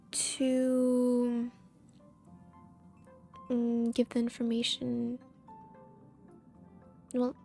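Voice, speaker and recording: A woman's voice: high-pitched, vocal fry, a little mellow. A woman chatting casually as she live streams a game, giggly and meandering.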